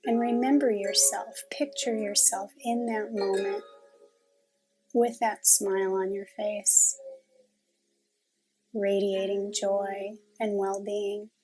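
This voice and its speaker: A woman leading a guided meditation in a calm, gentle, unhurried manner with long pauses. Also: mid-range voice, soft background music, poor audio.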